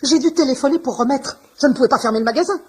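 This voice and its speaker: An actress playing a sarcastic, exasperated middle-aged shopkeeper's wife in a radio comedy drama, scolding in a husky voice. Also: female voice, high-pitched, very fast, a little mellow. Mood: scornful, irritated.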